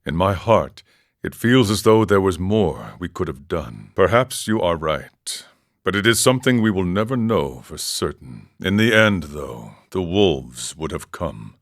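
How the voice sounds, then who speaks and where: An actor playing a serene, eccentric ascetic monk in a video game, speaking in a measured, philosophical way with formal phrasing. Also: male voice, low voice, big pitch swings, full character voice. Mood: regretful, grave. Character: serene, eccentric ascetic drug-taking monk who relishes suffering. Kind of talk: scripted game character dialogue.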